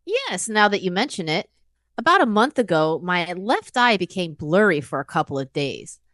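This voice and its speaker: A woman acts out a worried female patient in her mid-fifties. Her voice sits a little low but swings expressively as she earnestly describes things in a conversational way. The recording is dry and free of room sound. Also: very animated, moderate pace, a bit bright, no room sound. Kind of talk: acted medical consultation for exam practice.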